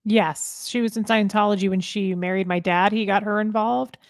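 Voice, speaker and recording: A woman's voice: medium pitch, steady tone, moderate pace, no echo, studio quality. A woman guest on a live stream, answering questions in an agreeable, informal, chatty way. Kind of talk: casual chat, answering viewer questions.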